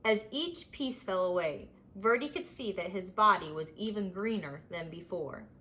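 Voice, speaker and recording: A woman reading a children's story aloud as scripted, expressive narration. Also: mid-range voice, normal speed. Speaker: storybook reader.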